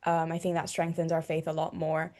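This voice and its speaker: A woman's voice: low-pitched, monotone, brisk, a little mellow. A young woman student from Hong Kong, giving an earnest personal faith testimony with fillers like "you know.". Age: young adult.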